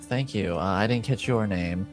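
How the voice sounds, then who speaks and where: A man's voice: fairly low pitch, normal speed, faint music behind, lightly acted. A man voicing a reserved young elven woman in a tabletop game, with a hesitant, understated delivery. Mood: guarded, relaxed.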